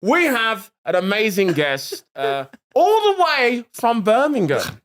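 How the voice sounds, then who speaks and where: Lively, teasing male comedy podcast co-host. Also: sits high, slow. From England. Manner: teasing, swearing, laughs often. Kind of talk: casual comedy podcast banter. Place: indoor studio.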